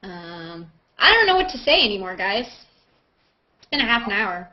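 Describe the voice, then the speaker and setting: A woman's voice: big pitch swings, moderate pace. A woman chatting casually and jokingly as the host of a group video hangout. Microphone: video call. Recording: muffled low-fidelity video call audio.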